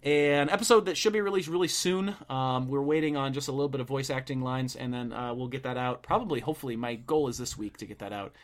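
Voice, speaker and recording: A man's voice: mid-range voice, quick pace, hardly any pauses. A young man hosting a casual podcast chat, rambling with fillers and laughing often. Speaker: podcast host.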